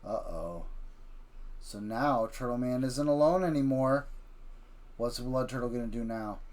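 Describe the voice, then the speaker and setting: A man hosting an improvised story session with a child, wry and gently teasing as he narrates. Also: medium pitch, unhurried. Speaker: host, parent telling a story with a child.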